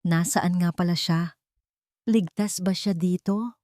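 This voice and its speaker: A woman voicing a tired, scheming woman lawyer in a story, measured and earnestly persuasive. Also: lowish voice, fairly even intonation, mellow, no room sound, studio quality. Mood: feigned concern.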